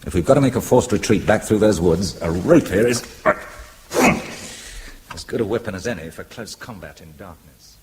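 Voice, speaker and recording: An actor playing a resourceful, wry British husband turned amateur sleuth in a radio drama, speaking in a dry, clipped way. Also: male voice, on the low side, normal speed, long pauses, noisy background, close to an everyday voice. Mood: tense, urgent.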